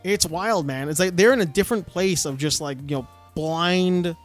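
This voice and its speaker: High-voiced man telling a story casually. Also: moderate pace, soft background music. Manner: animated storyteller, many restarts and "like" fillers. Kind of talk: casual podcast chat.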